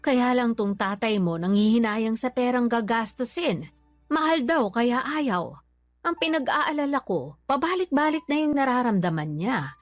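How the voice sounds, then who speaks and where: An actress with a Filipino accent playing a worried, forgiving middle-aged mother in a radio drama, pleading and gently reproving. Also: fairly high pitch, expressive, normal speed, no echo, faint music behind, natural voice.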